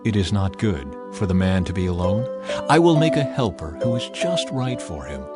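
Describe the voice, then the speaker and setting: A man voicing a solemn, authoritative male God in a scripture audiobook, speaking sternly and deliberately. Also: low voice, animated, over loud music, pitched below the performer's own voice.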